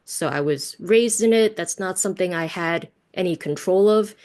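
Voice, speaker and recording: A woman's voice: on the low side, moderate pace, darkish tone. A woman whose first language is Cantonese, from the United States, leading an online teaching workshop over a video call in a conversational way, often checking in with tag questions. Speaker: workshop presenter.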